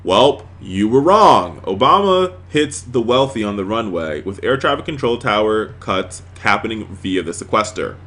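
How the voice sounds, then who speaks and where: A man's voice: fairly low pitch, big pitch swings, normal speed, hardly any pauses, fairly bright tone. An American man hosting a political talk show, giving emphatic, sarcastic commentary on the news to his audience.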